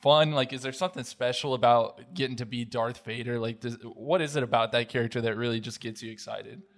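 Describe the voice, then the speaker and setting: Enthusiastic male podcast interviewer, mid-pitched. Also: fairly even intonation, brisk. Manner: enthusiastic, complimentary. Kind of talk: casual interview chat.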